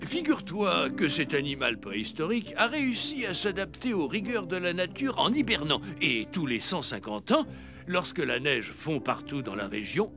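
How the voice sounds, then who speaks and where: A man playing an anxious, kindly old Father Christmas in a French cartoon, with hesitant, grandfatherly delivery. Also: fairly high pitch. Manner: hesitant, humming pauses, grandfatherly.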